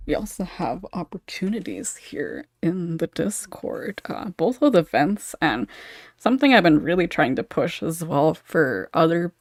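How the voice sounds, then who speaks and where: A woman's voice: lowish voice, big pitch swings, a little slow, no room sound, studio quality. A woman hosting a casual podcast panel, talking informally as moderator.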